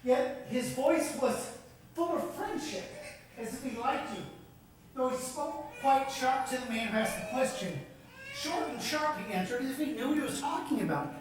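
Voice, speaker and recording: A woman's voice: sits high, expressive, normal speed, background noise. A woman voicing a joyous young man who can newly see. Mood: excited. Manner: breathless, tearful, ecstatic delivery. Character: young man, born blind, newly sighted, joyful and earnest. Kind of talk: old radio drama acting. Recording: echoey, distant old radio recording.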